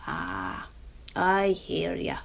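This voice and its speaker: A woman's voice: on the low side, sing-song, extremely slow, vocal fry, full character voice. A woman voicing an older man, a folksy, doubtful gardener with a rustic drawl.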